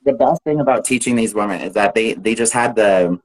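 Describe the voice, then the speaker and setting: An American man with a fairly low voice talks easily on a live video call. He is relaxed and chatty and laughs often as he speaks. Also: a little fast, rough recording. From United States. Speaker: English teacher. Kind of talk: casual live chat.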